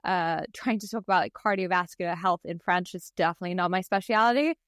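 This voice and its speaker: A woman with a smooth, clear voice and a South African accent softened by years abroad. She co-hosts a podcast, talking conversationally and feeling her way through phrases. The recording is dry, with hardly any room sound. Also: fairly low pitch, fast, dry recording. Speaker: podcast co-host.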